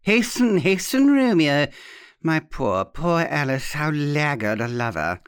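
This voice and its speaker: A man voicing a mocking, unctuous old woman in an audiobook story, drawing out her words slowly. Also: on the high side, big pitch swings, clear, resonant tone, no room sound, clean studio sound. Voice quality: unctuous, slow and drawn-out.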